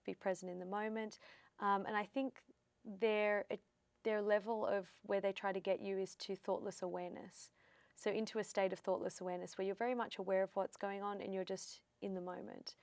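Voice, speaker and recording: An Australian woman in her thirties chats easily and lively, slipping into the voices of people she quotes. Her voice has a gravelly, fry-tinged texture at middle pitch. The recording is close and dry, with no room sound. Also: fairly quick, no echo. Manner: chatty storyteller, acts out quotes. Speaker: psychic medium guest. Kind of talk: casual interview chat.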